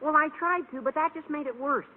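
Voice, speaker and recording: An American actor playing an eager, scheming teenage schoolboy, gawky and over-earnest, in an old radio sitcom. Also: male voice, extremely high pitch, a little fast. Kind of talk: 1940s-50s American radio sitcom acting. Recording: tinny old radio recording, faint hiss.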